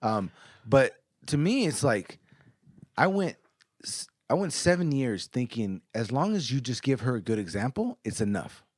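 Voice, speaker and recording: A man's voice: mid-range voice, very animated, normal speed, husky, dry recording, clean studio sound. A man in his thirties, co-hosting a podcast, talking bluntly and earnestly with frequent swearing. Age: 30s. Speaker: podcast co-host. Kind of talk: casual podcast chat.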